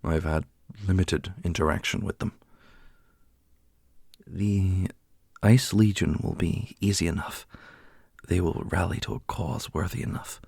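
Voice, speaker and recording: Man acting a deep, gravelly fantasy warrior leader. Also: measured pace, a little mellow, no room sound, clean studio sound, heavily acted. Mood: measured, planning. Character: solemn, strategic male warrior leader in a fantasy realm. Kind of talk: fantasy audio roleplay acting.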